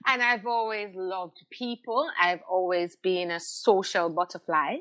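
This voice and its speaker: A woman's voice: on the low side, steady tone, unhurried, fairly bright tone. A middle-aged woman, speaking as an interview guest in an emphatic, conversational way, repeating phrases for stress. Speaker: podcast guest, radio host.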